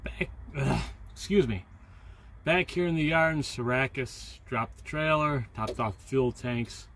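A man's voice: mid-range voice, measured pace, a bit bright. A man in his forties, a truck driver vlogging casually to a dashboard phone from his cab, rambling and joking about himself. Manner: rambling, self-deprecating, chatty. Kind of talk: casual chat to camera. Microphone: phone camera on dashboard. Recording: engine and road noise behind.

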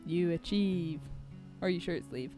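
A woman's voice: lowish voice, unhurried. A young woman co-hosting a casual chat at a stand microphone, giving brief, agreeable replies. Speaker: co-host and musician. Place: small curtained stage. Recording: loud guitar music behind the voice.

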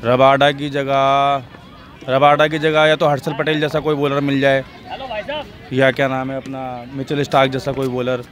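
Young man, mid voice, calm reasoned vox pop, outdoors. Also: medium pitch, moderate pace. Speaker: interviewee. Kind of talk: casual opinion. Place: outdoor sports ground, seated. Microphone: handheld mic.

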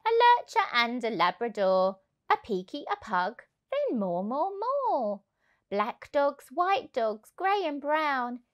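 Woman reading a kids picture book out loud, slow and really expressive. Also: high voice. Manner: animated, rhythmic, emphatic delivery. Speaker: childminder reading stories for children. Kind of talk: expressive children's storybook read-aloud. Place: indoors on a sofa. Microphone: clip-on lapel mic.

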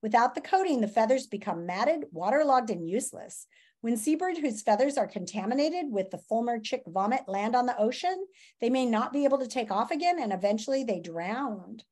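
A woman's voice: a little high, animated, a little fast, a little mellow. A woman reads a children's nonfiction book aloud like a teacher, expressively and dramatically, with asides and questions to her listeners. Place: small room at home.

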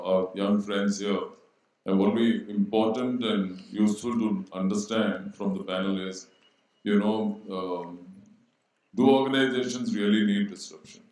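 A middle-aged man speaking on a panel into a handheld mic, measured and posing rhetorical questions to students. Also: lowish voice, a little mellow. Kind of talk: panel discussion. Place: large auditorium stage. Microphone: handheld microphone. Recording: very echoey hall.

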